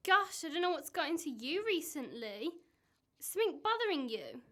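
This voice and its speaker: A woman's voice: high voice, very animated, moderate pace, pushed and bright, full character voice. A woman in an amateur radio play acting a suspicious, exasperated wife, accusing and sarcastic.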